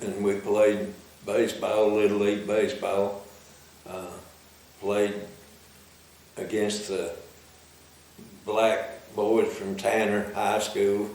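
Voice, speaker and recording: An elderly man speaks slowly and haltingly, with many fillers. His voice is low and flat. The room is very echoey and the mic is far away. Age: 80s. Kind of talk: recorded interview.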